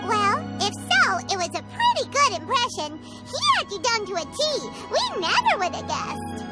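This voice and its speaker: A woman's voice: very high voice, wildly swooping pitch, normal speed, loud music behind the voice, full character voice. A woman voicing a tiny childlike female fairy companion in a video game, chatty and loyal, with a chirpy manner. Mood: eager, chatty. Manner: chirpy, refers to self in third person. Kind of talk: animated video game character dialogue.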